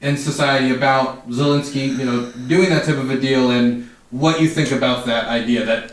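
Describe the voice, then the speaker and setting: A man's voice: mid-range voice, quick pace. A man hosting and interviewing, asking questions in an inquisitive way. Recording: very echoey room, distant mic.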